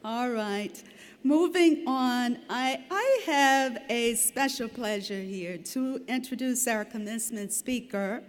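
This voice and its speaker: A woman's voice: on the high side, takes it slowly. A woman emceeing a graduation ceremony, making dry teasing asides before introducing the speaker. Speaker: ceremony presenter. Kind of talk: ceremonial emcee with light humor. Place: large indoor arena.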